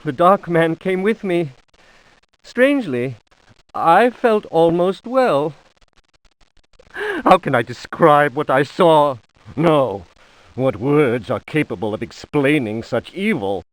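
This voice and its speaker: A tormented man writing his final diary in a horror game. His delivery is slow and theatrical, with very animated pitch swinging through anguish. The sound is dry and close. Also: dry recording, rough recording. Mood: haunted, dreamy. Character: doomed, tormented male diary writer sinking into despairing madness.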